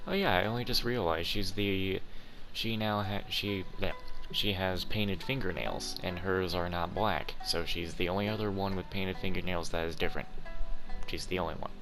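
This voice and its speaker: A man with a low voice gives casual video game commentary. He muses haltingly as he plays, and game music is clearly heard behind him. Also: fairly even intonation. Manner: musing, halting. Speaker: gaming video host.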